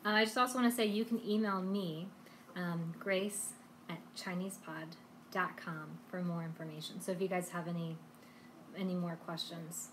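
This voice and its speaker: A woman's voice: fairly low pitch, fairly even intonation, normal speed, slight background noise, low-quality recording. A woman hosting a language lesson live stream, talking in a casual, chatty and encouraging way.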